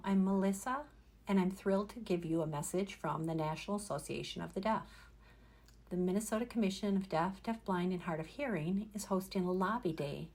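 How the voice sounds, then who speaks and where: A woman giving a prepared formal address on behalf of an organization, in an earnest, measured way. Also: lowish voice, darkish tone. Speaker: speaker delivering an organization's message.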